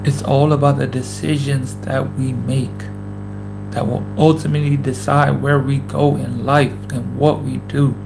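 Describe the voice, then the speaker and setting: Gravelly 30s guy doing a motivational vlog to his camera, keeps repeating himself for emphasis. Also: mid-range voice, flat delivery, a little slow, over music, low-quality recording. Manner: repetitive, emphatic, slangy. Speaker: host, former pro basketball player. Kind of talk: casual motivational vlog talk. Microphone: camera mic.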